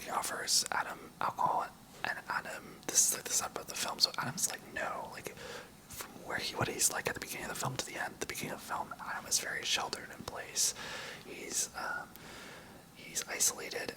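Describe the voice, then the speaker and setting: Young adult, soft ASMR whisper throughout, chatty and rambling, pitch swings widely. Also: rough recording. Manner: casual, rambling, many "like" and "um" fillers. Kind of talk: whispered ASMR movie recap.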